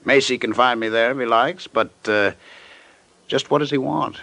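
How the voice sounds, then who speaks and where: A man's voice: mid-range voice, moderate pace, creaky, pushed and bright, no echo. An actor playing a slick, evasive man dodging creditors in an old radio detective drama, smug and cocky with drawling hesitations. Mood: smug, indignant.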